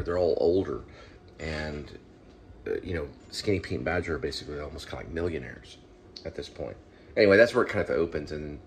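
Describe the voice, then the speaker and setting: A man hosting his own online show, giving rambling, unscripted commentary in a halting, digressive way, as if thinking aloud. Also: deep voice. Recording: clear background noise.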